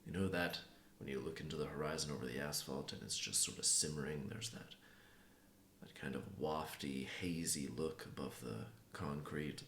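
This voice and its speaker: A man improvising a folktale, telling it haltingly with pauses between phrases in a gravelly, creaky voice. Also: very deep voice, fairly bright tone, slight background noise. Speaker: writer improvising a story. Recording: roomy, echoing sound.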